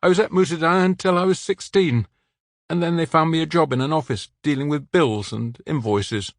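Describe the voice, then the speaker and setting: A man reading the part of a weary, apologetic man in his forties who speaks quietly and plainly. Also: fairly high pitch, normal speed, no echo, studio quality, voice pushed up, natural voice. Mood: resigned.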